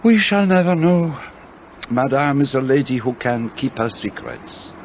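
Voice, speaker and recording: A man's voice: mid-range voice, animated, a little slow, dull audio, a little hiss or hum. An actor playing a shrewd, fussy Belgian detective in a radio play, speaking precisely and courteously as he lays out his reasoning. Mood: explaining.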